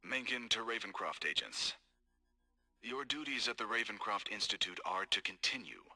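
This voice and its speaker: A man voicing a cold, scheming corporate executive in game audio logs, calm, measured and formal. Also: fairly low pitch, faint music behind, exaggerated voice. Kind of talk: recorded game audio log.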